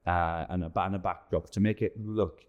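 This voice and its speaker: A man's voice: deep voice, steady tone, a little fast, phone-quality sound. A man chatting informally and enthusiastically in an interview as a theatre director. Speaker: pantomime director and producer being interviewed. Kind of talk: casual interview chat.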